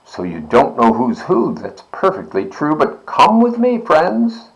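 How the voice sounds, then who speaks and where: Storybook swindler salesman, acted by a man: cheerful, slick, emphatic showman pitch, leaping high in animated swoops. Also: a little slow, vocal fry, dull audio, exaggerated voice. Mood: sly, persuasive.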